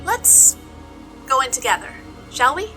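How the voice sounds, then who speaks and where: A woman's voice: high voice, sing-song, unhurried, pushed and bright, faint music behind, heavily acted. A woman playing a blunt, practical, impatient young adventurer in a fantasy audio drama. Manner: blunt, colloquial, encouraging.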